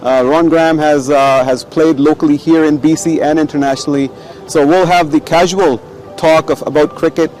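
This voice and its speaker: A man from Pakistan hosting a casual cricket chat, halting with frequent fillers and restarts. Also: on the high side, fairly even intonation, darkish tone, rough recording. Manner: hesitant, many fillers and restarts. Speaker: sports show host.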